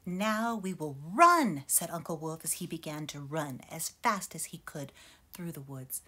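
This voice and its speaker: A woman reading a children's picture book aloud in a gentle, expressive way, as a teacher for her students. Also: low voice, moderate pace, slightly rough. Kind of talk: storybook read-aloud.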